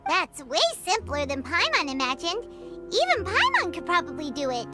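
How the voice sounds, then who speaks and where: A woman voicing a tiny, chirpy female fairy companion in a video game, with a squeaky, bouncy, childlike voice. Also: sing-song, normal speed. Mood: impressed. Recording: game audio with music underneath.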